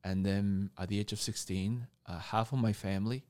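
A male interview guest with a fairly low voice tells stories in a conversational way. His delivery is halting, and he repeats words as he builds each sentence. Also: steady tone, darkish tone. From born in Taiwan, grew up in Argentina and Canada. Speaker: mentor and coach, podcast guest.

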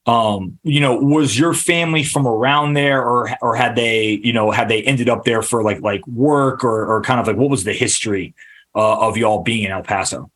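A man hosting a podcast interview, asking long, halting questions full of restarts and fillers. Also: mid-range voice, fairly bright tone. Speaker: podcast host. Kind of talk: casual interview.

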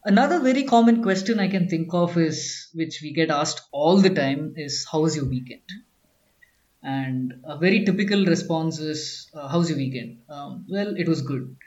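A man from Kerala with a slightly high voice co-hosts a relaxed conversational podcast. He explains his points patiently and restarts his sentences often. The recording sounds dull and lo-fi. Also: moderate pace. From Kerala, India. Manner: explanatory, many restarts and "I mean". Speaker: podcast co-host.

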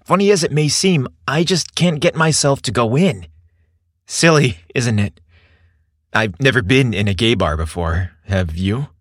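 A man acts out a kind young man in a romantic story, shy and easily smitten. He speaks softly and with hesitation, in a dry, close recording. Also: medium pitch, animated, a little slow, dry recording. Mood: sheepish, confiding. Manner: soft-spoken, hesitant.

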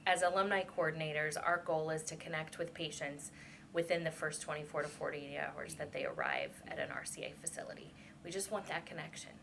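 A woman with a gravelly, fairly low voice hosts an interview, asking open questions in an encouraging, gentle way. Faint noise hums behind her. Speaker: interviewer, alumni coordinator.

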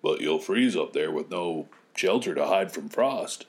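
A man's voice: low-pitched, animated, a little slow. A man voicing worried, protective village neighbors who pity a child in a storybook. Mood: pitying, alarmed.

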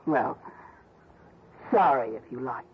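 An actress playing a cold, mercenary, bitter widow in a 1960s radio drama, with a blunt, unrepentant manner. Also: female voice, extremely low pitch, expressive, slow pace, slightly rough, heavily acted. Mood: guarded, cold. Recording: muffled, narrow-band old radio recording.

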